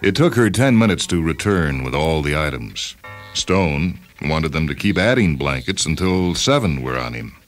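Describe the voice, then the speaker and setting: A man's voice: a bass voice, very animated, normal speed. A man reading a short story aloud as a scripted, dramatic narration. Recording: music bed under the voice. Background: music.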